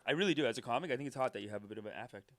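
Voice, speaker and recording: Fast-talking animated man. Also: mid-range voice, no room sound, studio quality. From Canada. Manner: animated, joking, fast storytelling. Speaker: podcast co-host and comedian. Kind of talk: casual podcast chat.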